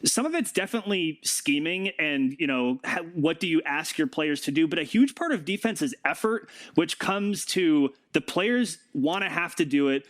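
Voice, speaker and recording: Higher-pitched American man hosting, dry sound. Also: lively intonation, normal speed, hardly any pauses, a bit bright, no room sound. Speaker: podcast host. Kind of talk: casual sports podcast chat.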